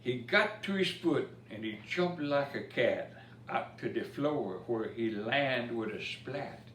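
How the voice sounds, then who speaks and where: A man reading a children's picture book of Cajun dialect verse aloud, telling it expressively with asides about the pictures. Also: mid-range voice. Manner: expressive storytelling, pausing to point out pictures. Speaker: story time reader. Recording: roomy, distant mic with faint noise.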